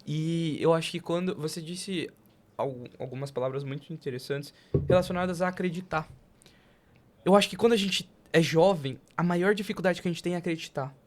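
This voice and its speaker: A woman interviewing in a casual, colloquial way, with informal, slightly halting phrasing. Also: low voice, darkish tone, slight background noise. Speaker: podcast interviewer.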